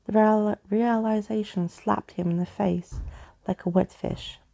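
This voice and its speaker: A woman narrates a story in a soft-spoken ASMR style. Her tone is relaxed and mellow. A noticeable creak runs through her voice. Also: mid-range voice, unhurried.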